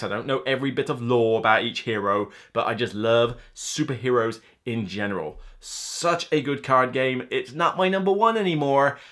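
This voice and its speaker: A man's voice: medium pitch, bright, forceful tone. A man in his thirties talking to camera as a board game reviewer, casual and enthusiastic, rambling with emphatic delivery. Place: indoor room lined with game shelves.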